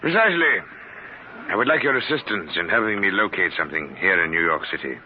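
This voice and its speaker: A stiff, precise professor type, very low voice, formal and pedantic; muffled vintage radio with light hiss. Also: male voice, lively intonation, quick pace. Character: odd, precise scholarly man seeking Martians. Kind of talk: 1950s radio drama acting.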